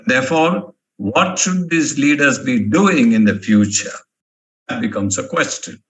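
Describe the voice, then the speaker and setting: Man, professor, management lecture, emphatic, repeats key points, bright forceful tone. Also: medium pitch, expressive, measured pace, poor audio. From India. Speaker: professor giving a guest talk.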